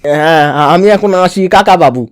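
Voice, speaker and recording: Man acting sly, higher-voiced village son-in-law. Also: normal speed, no room sound, studio quality, natural voice. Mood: ingratiating, polite. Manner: ingratiating, stammering with repeated words. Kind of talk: exaggerated comic cartoon dialogue.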